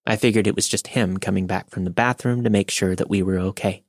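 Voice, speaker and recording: Brief: low male narrator, calm scripted reading, close dry mic. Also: brisk, no echo. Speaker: podcast narrator. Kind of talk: scripted narration of a listener's story.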